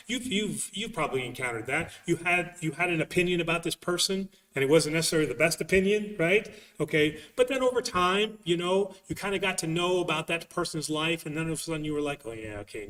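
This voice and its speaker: A man's voice: mid-range voice, fairly bright tone. Priest guy answering faith questions, stop and start, repeats himself for emphasis, big echoey sound, far from mic. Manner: emphatic, halting, repeats phrases. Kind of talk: conversational religious Q&A.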